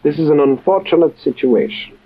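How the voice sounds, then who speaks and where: Suave, husky villain, lively pitch. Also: male voice, fairly quick. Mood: veiled threat. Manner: formal, unctuously polite with veiled threat. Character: suave menacing wealthy Middle Eastern crime boss. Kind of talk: old-time radio drama acting. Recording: muffled vintage radio recording.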